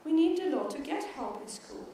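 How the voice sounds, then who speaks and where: High-voiced Swedish woman who stutters. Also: expressive, moderate pace, mellow, slight background noise. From Sweden. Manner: stutters with repeated words and syllables. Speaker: keynote speaker. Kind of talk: conference keynote speech. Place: large conference hall. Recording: echoey hall, mic from far off.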